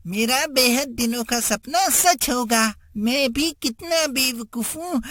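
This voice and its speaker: Woman voicing an earnest, high-pitched little schoolboy. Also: a bit bright, dry recording. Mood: excited. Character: innocent, sweet-craving young schoolboys. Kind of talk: children's cartoon story acting.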